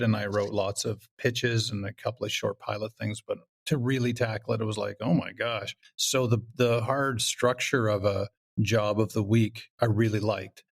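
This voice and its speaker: A man speaking casually as an interview guest, explaining his writing and acting out imagined reactions as he goes. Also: lowish voice. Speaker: guest, comedy writer and show creator.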